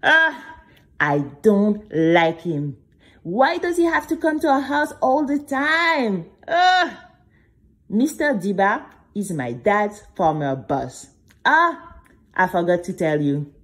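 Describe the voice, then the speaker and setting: A woman's voice: mid-range voice, takes it slowly. A woman playing a chatty, dramatic young girl who tells her own story straight to listeners in an audio drama for children. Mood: indignant, then earnest. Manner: expressive, confiding, exclamatory, addressing listeners directly. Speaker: narrator and main character.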